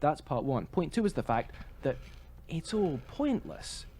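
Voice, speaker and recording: A man's voice: on the high side, very animated, unhurried, a little mellow, a little hiss or hum. A man co-hosting a podcast, talking in a casual, conversational style. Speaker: podcast co-host.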